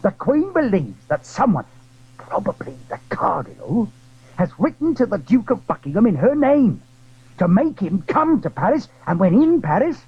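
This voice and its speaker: Through a slightly muffled old recording comes a man acting a worried, courteous landlord in an adventure audio drama. His husky voice is lively in pitch, earnest and confiding, with a halting delivery. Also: exaggerated voice. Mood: secretive, earnest.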